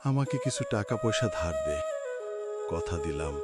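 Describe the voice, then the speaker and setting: Cartoon drama: male actor as a proud, destitute Bangladeshi husband, low-voiced and plaintive, loud music underneath. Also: huge pitch swings, moderate pace. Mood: ashamed, pleading. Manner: plaintive, sorrowful, pleading. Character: despairing, proud, poor middle-class husband. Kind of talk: dramatic cartoon story acting.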